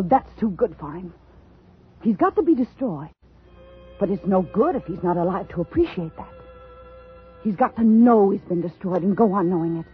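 An actress playing an unstable woman telling a story in an intense, halting, dramatic 1950s radio style. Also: medium pitch, breathy edge, phone-quality sound. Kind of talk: 1950s radio suspense acting. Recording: thin vintage radio recording with music.

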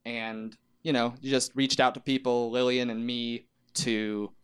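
A man's voice: medium pitch, flat delivery, measured pace, clean tone. A young man, a band member, telling the group's story in a casual interview chat.

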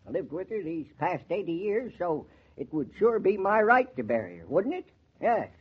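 Grumpy morbid old farmer in his 80s, high raspy voice, rural american accent, folksy, old dull radio recording. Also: big pitch swings, moderate pace, full character voice. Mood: morose, stubborn. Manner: folksy, grumbling, rambling. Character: cantankerous, morbid old rustic man of eighty-six, stubborn neighbor. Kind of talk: 1940s radio drama acting.

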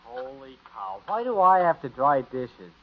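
A man's voice: medium pitch, very animated, measured pace. An American male actor playing a grumbling, wisecracking teenage son in a 1950s radio sitcom. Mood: grumbling, indignant. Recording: muffled, hissy old radio recording.

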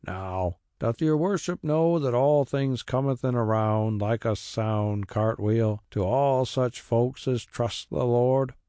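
A man's voice: medium pitch, warm tone, no echo, studio quality, natural voice. A man voicing a stubborn old rustic carrier. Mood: aggrieved. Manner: broad rural dialect, slow and roundabout.

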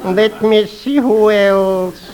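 Affable high-pitched fellow, hissy old radio. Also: male voice, fairly even intonation, drawn-out words, a little mellow, a faint crowd, poor audio. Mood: eager, affable. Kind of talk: 1950s radio comedy acting. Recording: hissy 1950s radio recording.

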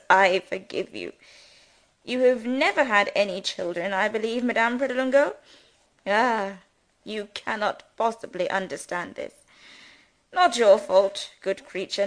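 A woman's voice: mid-range voice, slow, a bit bright. A woman reading the part of a pompous, sermonizing male country rector who loves grand words. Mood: sanctimonious.

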